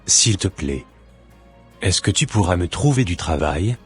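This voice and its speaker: Man voicing a low-pitched, devout, humble man. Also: expressive, unhurried, breathy edge, soft background music, heavily acted. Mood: polite, grateful. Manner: pious, earnest, formal. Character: devout, humble formerly homeless man, earnest and grateful.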